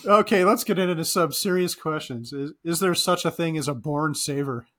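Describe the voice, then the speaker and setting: A woman's voice: sits low, normal speed. Teasing woman podcast host. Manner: teasing, jokey. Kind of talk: casual chat.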